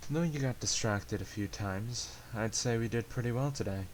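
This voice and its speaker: A man voicing a composed, practical male companion who gives measured, encouraging advice in an amateur story reading. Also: fairly low pitch, natural voice. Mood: calm, advising. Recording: hissy amateur home recording.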